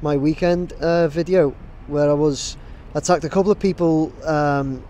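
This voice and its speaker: A man in his thirties talks casually into a camera held at arm's length outdoors, with a fairly high voice that still sounds mellow and dark. He rambles and keeps restarting his sentences. Faint street noise sits behind him. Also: steady tone, measured pace. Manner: rambling, many fillers and restarts. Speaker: drone videographer.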